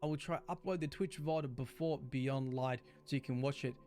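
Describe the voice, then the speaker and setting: A man reading scripted explainer narration in a clear, expository way. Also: mid-range voice, normal speed, darkish tone. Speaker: lore video narrator. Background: background music.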